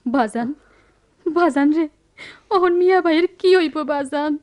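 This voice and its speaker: A woman's voice: sits high, measured pace, darkish tone. A woman playing a hurt, devoted young village daughter in a radio drama, plaintive, with a rural Bangladeshi accent. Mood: anxious. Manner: plaintive, pleading.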